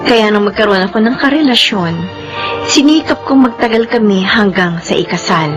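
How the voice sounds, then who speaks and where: A woman's voice: mid-range voice, moderate pace, natural voice. A woman playing a devoted, submissive young girlfriend in an emotional radio drama. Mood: reflective, sad. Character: devoted, pleading young woman clinging to her boyfriend. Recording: radio drama with background music. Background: music.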